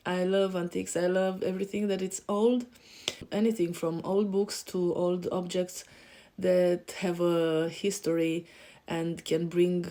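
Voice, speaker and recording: A woman in her thirties with a Romanian accent and a low, mellow voice. She introduces herself to camera as a podcast host, hesitant, with frequent fillers and restarts. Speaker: podcast host and life coach. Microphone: facing camera.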